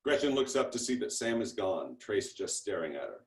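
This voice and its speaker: A man's voice: on the low side, big pitch swings, fairly quick, darkish tone. Echoey man reading stage directions. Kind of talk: scripted narration at a table read. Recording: echoey room on a video call.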